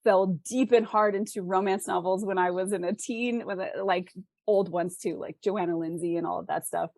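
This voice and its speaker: A woman's voice: mid-range voice, steady tone, moderate pace, gravelly, a little mellow, no echo. A talkative middle-aged woman chatting casually and expressively about her own work as a fantasy author being interviewed.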